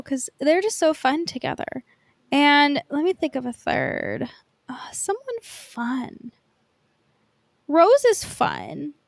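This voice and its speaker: A woman's voice: high-pitched, no room sound, clean studio sound. A woman chatting casually as a podcast co-host, in informal speech peppered with "like". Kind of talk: casual fan podcast chat.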